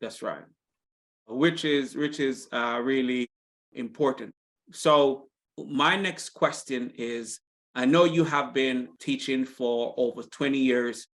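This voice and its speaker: A man's voice: medium pitch, steady tone, slow pace. A man hosting an interview, affirming his guest and speaking with frequent ums and uhs. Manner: affirming, many fillers. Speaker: podcast host. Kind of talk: interview conversation.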